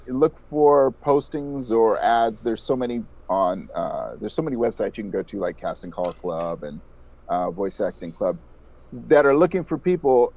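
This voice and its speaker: Middle-aged man, interview guest, voice actor, conversational, explanatory, enthusiastic, average pitch. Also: darkish tone.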